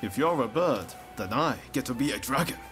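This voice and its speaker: A male actor voices a bold, friendly young ronin in a video game. He is an idealist who talks in a casual, earnest way and encourages others, at a medium pitch for a man. Music plays clearly behind him. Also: animated, brisk, close to an everyday voice. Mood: warm, hopeful. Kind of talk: video game cutscene acting.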